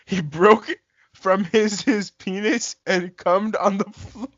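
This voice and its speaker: A man's voice: high voice, steady tone, slow, very noisy. A young man casually reading a story aloud to friends, stumbling over lines and repeating them. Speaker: amateur fan fiction reader. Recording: dry, muffled lo-fi voice chat.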